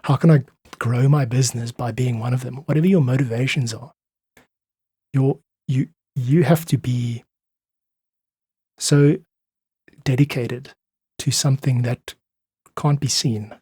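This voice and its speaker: A man's voice: mid-range voice, steady tone, a little slow, long pauses, dark tone, husky, no room sound. A man talking as a musician guest on a podcast, reflective and earnest. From South Africa. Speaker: interview guest, independent musician. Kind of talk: reflective conversational podcast talk.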